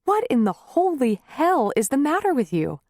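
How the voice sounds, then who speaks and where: A woman's voice: mid-range voice, expressive, a little slow, a little mellow, no echo, studio quality. A woman voicing an angry, weary wife. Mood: furious. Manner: raised, heated and strained. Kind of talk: audiobook character reading.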